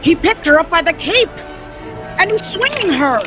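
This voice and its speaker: A woman plays a brisk, matter-of-fact male police detective in a vintage radio play. The voice is high and bright, with a lively, wide-ranging pitch. Faint music sits in the background. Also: full character voice. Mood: alarmed. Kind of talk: 1940s radio acting, table read.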